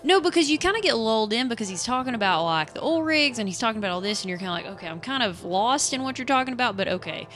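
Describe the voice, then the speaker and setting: A woman's voice: a little high, expressive, racing, few pauses, a bit bright, faint music behind. A woman co-hosting a podcast in informal, conversational chat, voicing her own reactions as she discusses a story. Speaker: podcast co-host. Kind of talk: casual chat about a horror radio drama.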